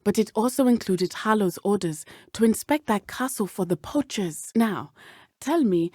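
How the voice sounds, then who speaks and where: Woman playing brave teen witch girl from a video game, lively pitch, careful formal wording, dry close sound. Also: no room sound, close to an everyday voice. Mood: earnest, concerned. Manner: precise, measured, formal diction. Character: earnest, brave teenage schoolgirl witch from Uganda. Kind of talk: video game character dialogue.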